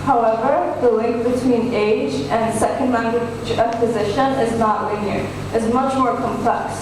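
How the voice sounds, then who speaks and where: A woman's voice: on the high side, steady tone, unhurried. A young woman who is a student speaker, giving a rehearsed presentation to an audience. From household speaking English and Hindi. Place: large echoey indoor event hall. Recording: very echoey hall, distant, noisy.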